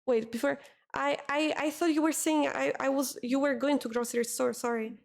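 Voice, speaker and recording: A woman's voice: high voice, fairly even intonation, normal speed, creaky. A young woman with an Italian accent, chatting casually with her stream audience, often repeating words and reading chat messages aloud.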